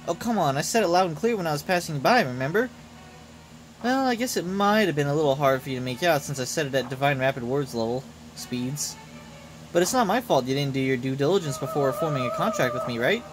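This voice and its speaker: A greedy, scheming goddess from a game as a man reads the part, flippant and pushy. The voice sits fairly high for a man, over loud music. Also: expressive, fast, lightly acted, rough recording. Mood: breezy, pushy. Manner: breezy, pushy, self-satisfied.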